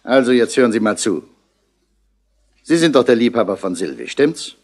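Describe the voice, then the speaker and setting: An actor playing a stern, impatient police commissioner who interrogates brusquely, in the style of a 1960s crime radio drama. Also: male voice, medium pitch, expressive, normal speed, darkish tone, natural voice.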